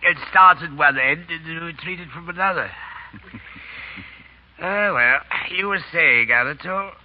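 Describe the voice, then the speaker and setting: An actor in a 1930s radio drama playing a grumbling, wryly dismissive French writer in a theatrical, muttering manner. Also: male voice, fairly high pitch, unhurried, close to an everyday voice. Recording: dull, hissy 1930s radio recording.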